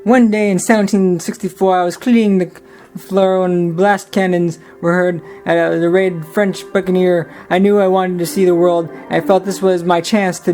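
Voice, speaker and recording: A woman's voice: on the low side, a little mellow, natural voice. A woman voicing a young Irish pirate seaman telling his story in the first person, earnest and plodding.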